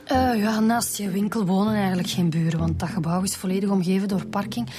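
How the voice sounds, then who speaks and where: A woman with a Flemish accent playing a calm, matter-of-fact police detective in a radio drama, informal and reassuring. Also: mid-range voice, a little fast, close to an everyday voice.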